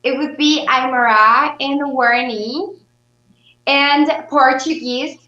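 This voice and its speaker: A woman's voice: sits high, slow pace, bright, forceful tone. A young woman from Bolivia answering questions conversationally as an interview guest, on roomy, muffled audio. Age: young adult. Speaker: interview guest, beauty pageant titleholder.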